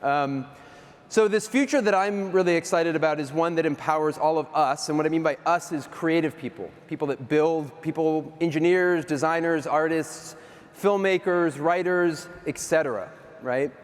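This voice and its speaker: A man's voice: a little high, normal speed. Chatty man on a reverberant stage. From US East Coast. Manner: chatty, self-deprecating, many fillers. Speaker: keynote speaker. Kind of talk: casual conference keynote. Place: large hall stage. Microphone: handheld or stage mic. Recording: reverberant hall.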